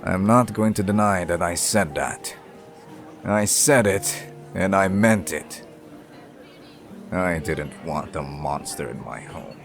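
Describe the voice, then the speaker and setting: Guy acting as a strict disapproving dad in an audio drama, low voice, talks slow, monster hunter type. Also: creaky, faint music behind, full character voice. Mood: stern, cold. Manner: righteous, slow and measured, disapproving. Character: stern, unyielding father, a veteran monster exterminator.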